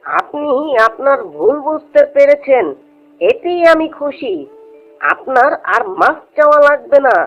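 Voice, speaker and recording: Cartoon jackal played by a woman, very high voice, cheeky street hawker with an upright streak, lo-fi track with music. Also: unhurried. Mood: calm, righteous. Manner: sing-song, rhyming street-vendor delivery. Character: sly, hardworking jackal shrimp seller, cheeky but upright. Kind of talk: Bengali cartoon character dialogue. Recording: dull lo-fi audio with music behind.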